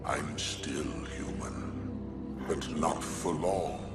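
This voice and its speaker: An actor playing a tormented, weary male monstrosity in a video game, a mutated psychic who speaks gravely and with regret. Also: rumbling low, steady tone, exaggerated voice. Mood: grave, sorrowful. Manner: grave, regretful, slow and deliberate. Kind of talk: video game cutscene acting. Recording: loud music under the voice.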